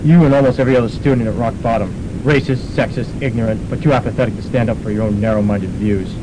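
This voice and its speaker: A man's voice: fairly even intonation, quick pace, close to an everyday voice. A man playing a self-righteous, scornful young student revolutionary in an amateur radio drama. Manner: preachy, disgusted. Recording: noisy, rumbling amateur radio recording.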